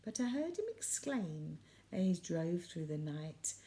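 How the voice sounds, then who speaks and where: A woman reading a children's poem aloud as a storyteller, with expressive, dramatic emphasis. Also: fairly low pitch, measured pace.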